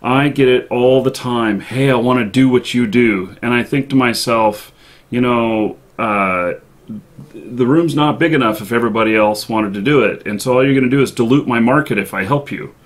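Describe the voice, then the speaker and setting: Man, medium-pitched, casual host. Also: moderate pace. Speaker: show host. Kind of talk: casual live talk show chat.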